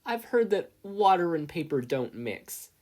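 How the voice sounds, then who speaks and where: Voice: mid-range voice, very animated, a little slow, a little mellow, pitched above the performer's own voice. A reader voicing a smug, jeering teenage boy who tags along as a bully's crony. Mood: smug taunting. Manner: taunting.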